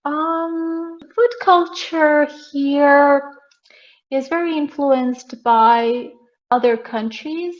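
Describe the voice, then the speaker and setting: A woman with a Serbian accent talks in a fairly high voice. She chats casually as a language tutor, and the sound is slightly muffled, like a lo-fi internet call. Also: extremely slow. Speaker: online English conversation tutor. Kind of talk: casual conversational chat. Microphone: online video call. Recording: muffled, lo-fi online call audio.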